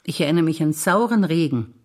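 An older woman, a stage actor reciting memories in a measured, playful theatre piece. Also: deep voice, lively intonation, darkish tone.

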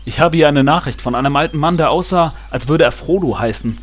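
A man's voice: fairly high pitch, a little fast, close to an everyday voice. A man playing a plain-spoken, formal messenger in a parody fantasy audio drama. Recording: clear background noise.

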